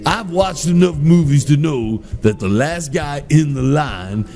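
Man playing a brash, animated, wisecracking uncle. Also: a little high, measured pace, soft background music. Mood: bossy, exasperated. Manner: brash, sarcastic, impatient. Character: crude, bossy, cowardly wisecracking uncle. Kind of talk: comedy audio drama acting.

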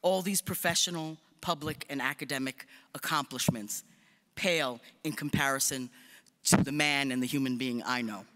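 A man's voice: mid-range voice, normal speed, bright, forceful tone. A man giving a formal introduction of a commencement speaker, with light joking asides. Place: large hall stage at podium. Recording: long hall reverb.

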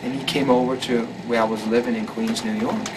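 A man's voice: mid-range voice, steady tone, brisk, background noise. A young man from Denmark, speaking as a rock singer in a casual interview, rambling with many fillers and restarts.

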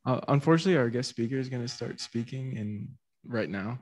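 A man's voice: mid-range voice, fairly quick, darkish tone. A young man, a student club officer, politely hosting a meeting and introducing the guest speaker. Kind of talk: event hosting.

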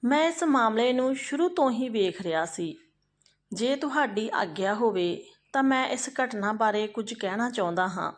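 A woman's voice: fairly high pitch, expressive, normal speed, lightly acted. A woman storyteller voicing a humble but bold Brahmin man, respectful and firm as he reasons in a folk tale.

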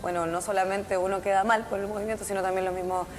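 A woman's voice: medium pitch, little pitch movement, fast. Woman, conversational, reverb, faint noise. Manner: conversational commentary. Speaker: studio news co-host.

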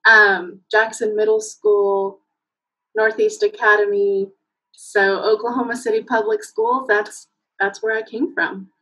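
A woman's voice: medium pitch, level tone, measured pace, poor audio. A woman in her thirties from Mexico whose first language is Spanish, telling stories with fillers and laughter on a video call from home. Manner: warm storytelling, lots of fillers, bursts of laughter. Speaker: guest. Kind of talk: casual interview over video call. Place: home room, indoors. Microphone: laptop webcam on a video call.

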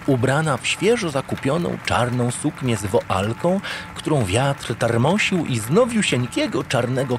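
An actor playing a naive, self-satisfied, doting spaceship captain who narrates a comic radio drama in an earnest, theatrical, pompous way. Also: male voice, medium pitch, a little hiss or hum. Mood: proud, doting.

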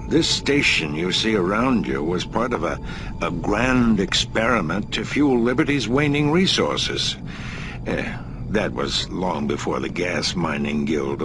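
An actor playing a kindly, reclusive older scientist in a sci-fi game, musing and avuncular. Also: male voice, low voice, very animated, a little slow, music in the background, exaggerated voice. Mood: welcoming. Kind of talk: acted dialogue in a sci-fi game cutscene.

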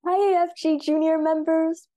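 A young teenage girl from Canada reading scripted news lines over a webcam in a steady, earnest way. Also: very high voice, normal speed. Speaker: news anchor.

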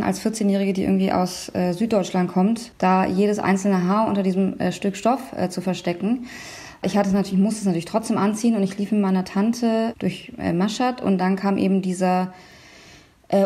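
Female interview guest from Iran, mid pitch, mellow warm tone, candid and animated. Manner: candid, animated storytelling with fillers. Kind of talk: interview answers.

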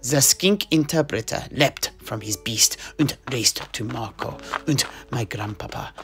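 A man's voice: medium pitch, measured pace, close to an everyday voice. Male mercenary knight raconteur played by an actor, fantasy audio play, hesitant conversational delivery, faint music bed. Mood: dramatic recounting. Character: male mercenary knight recounting his grandfather's voyage in a tavern. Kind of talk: in-universe storytelling audio play. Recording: music behind the voice.

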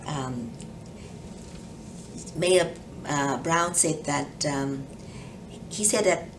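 An older woman with a Vietnamese accent talks slowly in a low voice, pausing often with soft fillers. She sounds a little distant from the mic, with steady background noise in the room. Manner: careful, hesitant, many ums. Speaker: judge. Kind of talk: oral history interview. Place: office with leather chair.

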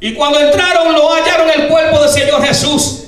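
An older man preaching a fervent sermon as pastor, often shouting and filling his speech with hallelujahs. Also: very high voice, steady tone, moderate pace. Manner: gestures broadly while preaching at a lectern. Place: church sanctuary, indoors, medium-sized. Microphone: handheld mic, filmed by a far camera. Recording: very echoey church hall, distant camera.

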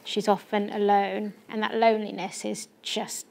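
A woman in her thirties interviewing a guest on stage, asking gentle conversational questions. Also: mid-range voice, flat delivery, moderate pace, creaky. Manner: gentle, conversational, uses fillers like "kind of". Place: stage of a small theater.

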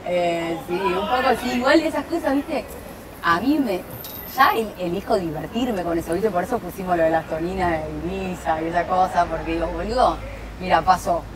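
A young Argentine woman talks casually into a lapel mic on an outdoor terrace. Her voice sits a little low, and she rambles with slang and restarts. Loud crowd noise fills the background. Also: normal speed. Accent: Argentine Spanish. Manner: chatty, many fillers and restarts, slangy. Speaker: guest. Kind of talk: casual chat. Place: outdoor terrace, seated at a table.

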